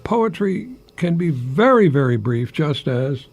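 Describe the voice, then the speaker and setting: An older American man, a retired professor, giving a folksy, rambling radio monologue in a gravelly, husky voice with pauses mid-phrase. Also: a little high, very animated. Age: older, retired, 60s or above. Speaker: retired English professor hosting a radio program.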